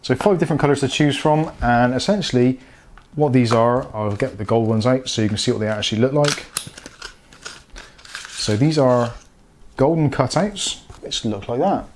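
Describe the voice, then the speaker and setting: Male review host, mid-pitched voice, casual explanatory delivery for unboxing videos. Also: normal speed, darkish tone, a little hiss or hum. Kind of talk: casual scripted-feel product review to viewers.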